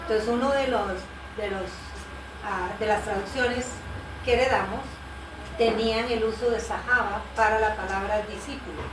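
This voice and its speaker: A woman's voice: a little high, steady tone, moderate pace. A woman who works as a missionary Bible translator, patiently explaining her work in a conversational interview, with fillers and self-corrections. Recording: roomy, distant mic with background noise.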